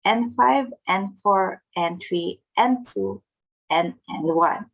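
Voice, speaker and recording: Young Filipino woman vlogger, gravelly husky voice, earnest step-by-step delivery. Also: mid-range voice, animated, slow pace, rough recording. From Philippines. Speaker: vlogger teaching Japanese test tips. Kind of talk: casual vlog narration.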